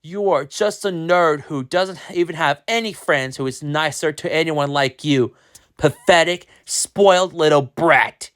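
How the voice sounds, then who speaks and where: Brief: reader playing sneering bully kid, raised pitch, slow drawn taunts. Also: fairly bright tone, natural voice. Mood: taunting. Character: mean taunting school bully boy.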